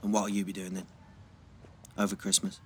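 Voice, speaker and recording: A man's voice: sits low, completely flat delivery, moderate pace, darkish tone, a little hiss or hum, natural voice. A young man playing a prickly, guarded teenage schoolboy in an audio drama, with a terse, defensive way of talking. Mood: probing, guarded. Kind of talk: naturalistic audio drama acting.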